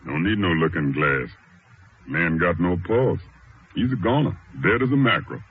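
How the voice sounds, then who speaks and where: Very deep-voiced, slangy old-radio gangster. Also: male voice, moderate pace, husky. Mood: cold, smug. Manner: slangy, laconic, menacing. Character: cool, gloating small-time gangster in jazz-age underworld. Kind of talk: old-time radio drama acting in verse and slang. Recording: muffled vintage radio, music behind.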